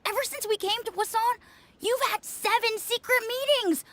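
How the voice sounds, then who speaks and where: A very high-pitched, eerie child informer. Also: female voice, normal speed, a bit bright, no echo. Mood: earnest, firm. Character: eerily cheerful young child informer, loyal and unfeeling. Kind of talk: video game voice acting.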